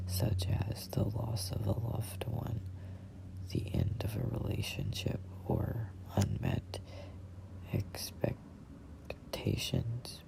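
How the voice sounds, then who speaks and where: A person in their twenties reading a self-help book aloud in a breathy ASMR whisper, deliberately and with pauses between short phrases. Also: sits low, rough recording. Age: 20s. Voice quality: whispery, breathy, gravelly creak. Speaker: amateur book reader.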